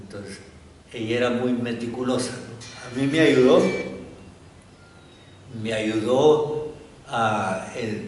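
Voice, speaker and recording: Slow, halting older man, echoey room. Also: medium pitch. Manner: thoughtful, halting, often seeking agreement with tag questions. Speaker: interviewee, academic anthropologist. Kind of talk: reflective interview recollection. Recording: roomy, echoing, distant mic, faint noise.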